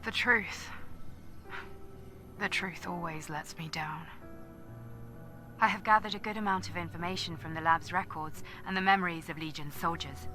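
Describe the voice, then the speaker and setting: A weary lone soldier records a solemn, questioning log in a game. Her voice sits on the low side, with a bright, tense edge, and music plays faintly behind it. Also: fairly even intonation, normal speed, long pauses. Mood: bitter. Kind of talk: dramatic scripted log narration.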